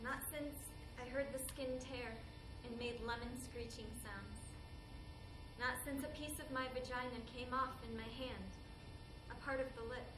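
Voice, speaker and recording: A woman's voice: high-pitched, measured pace, recorded from a distance. A woman performing a theatrical monologue as a traumatized, haunted war survivor, numb and grieving. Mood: anguished. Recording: echoey hall, clear background noise.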